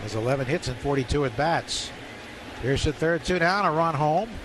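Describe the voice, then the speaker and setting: Male radio baseball play-by-play announcer, higher-pitched, clipped delivery, live broadcast with heavy background noise. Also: moderate pace. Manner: clipped phrases, rising to excited calls on big plays. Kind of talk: live radio sports commentary. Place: ballpark broadcast booth.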